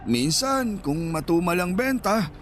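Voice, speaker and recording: High-voiced old Filipino street vendor. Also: male voice, big pitch swings, unhurried, a bit bright, faint music behind, exaggerated voice. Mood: reflective. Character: small, thin, shy old husband, night street vendor. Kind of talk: radio drama dialogue.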